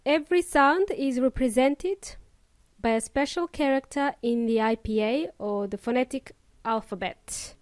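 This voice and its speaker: A woman's voice: high-pitched, measured pace, dry recording. A young woman with an Eastern European accent tinged with New Zealand and Australian sounds, hosting an upbeat English lesson podcast and talking straight to her listeners. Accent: Eastern European with New Zealand and Australian traces. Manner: upbeat, addresses listeners directly with Spanish asides. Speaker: English teacher podcast host.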